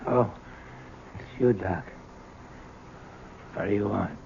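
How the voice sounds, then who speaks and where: Old sick convict, drowsy, gravel-throated, dragging pace, radio play, narrow noisy broadcast. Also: male voice, on the low side, slow pace, a little mellow. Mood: groggy.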